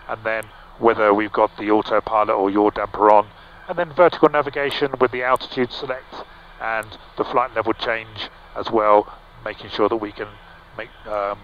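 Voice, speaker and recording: A middle-aged male pilot talks through his instruments in a relaxed, explanatory way, pausing with ums and restarting sentences. His voice sits at an ordinary pitch and comes through a headset mic that sounds a little dull. A faint hum of engine noise stays underneath. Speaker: pilot and vlog host. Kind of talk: casual cockpit walkthrough. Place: small aircraft cockpit in flight. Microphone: headset boom mic.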